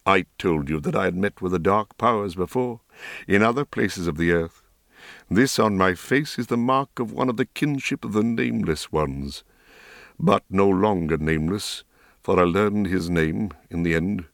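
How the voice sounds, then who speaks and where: A man voicing a grave, calm young wizard in a storybook, speaking quietly and gently. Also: sits low, normal speed, creaky, no echo, studio quality. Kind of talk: audiobook character reading.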